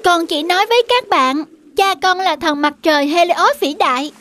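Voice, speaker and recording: A woman's voice: very high voice, normal speed, fairly bright tone. A woman voicing a stubborn, eager little boy in a myth cartoon, childlike and insistent. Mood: sulky, insistent. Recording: music behind.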